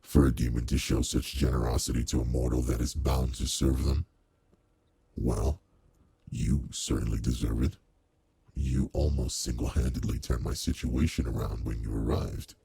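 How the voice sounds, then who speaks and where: A man voicing a suave, teasing aristocratic demon lord in an intimate roleplay audio drama, with a deep, gravelly, husky voice and a leisurely manner. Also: flat delivery, normal speed, warm tone, no echo, heavily acted. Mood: generous, amused.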